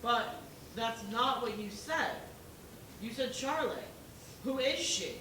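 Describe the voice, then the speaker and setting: Earnest, insistent female pig juror stage actress, plain delivery, amateur play recorded with clear background noise. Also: fairly high pitch, lively intonation, slow pace, reverberant, far from the mic. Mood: questioning. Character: earnest, persistent pig juror arguing for fairness.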